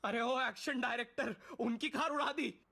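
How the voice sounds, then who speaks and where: A man's voice: extremely high pitch, normal speed, gravelly, pushed and bright, full character voice. A man voicing a cocky, mocking battle-game fighter, delivering theatrical taunting one-liners. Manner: theatrical, taunting, filmi punchlines.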